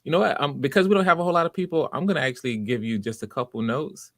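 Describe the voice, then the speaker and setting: A man's voice: mid-range voice, rapid-fire, fairly bright tone, no room sound, clean studio sound. A man hosting auditions and giving encouraging, conversational feedback, often restarting his phrases. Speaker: audition host and director.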